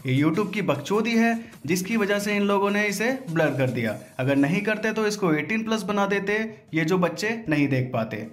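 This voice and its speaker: A man's voice: high voice, lively intonation, normal speed, hardly any pauses, soft background music. A man hosting a voice-over breakdown in an informal, slangy way, as commentary for viewers. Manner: informal, crude slangy joking. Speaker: video host.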